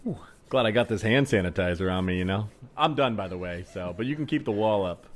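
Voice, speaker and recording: A man improvising a desperate, sheepishly philosophical shopper in a comedy scene, halting and rambling as he earnestly justifies himself. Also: fairly low pitch, lively intonation, natural voice. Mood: relieved, musing.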